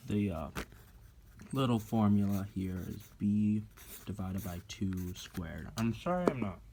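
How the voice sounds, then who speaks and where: A man's voice: lowish voice, creaky, background noise. A teenage boy casually talking a classmate through a math lesson, offhand and joking as he writes on paper. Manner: slow, offhand and jokey, talking while writing and snacking. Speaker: student tutoring a classmate. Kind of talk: casual homemade math walkthrough. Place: indoor room at home.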